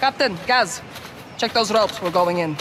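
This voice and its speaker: An actress playing a resolute guerrilla commander in a military game, terse and commanding, with a Middle Eastern accent. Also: female voice, mid-range voice, lively intonation, unhurried, background noise, close to an everyday voice. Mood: firm, commanding. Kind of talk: dramatic game cutscene acting. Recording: loud cinematic score under dialogue.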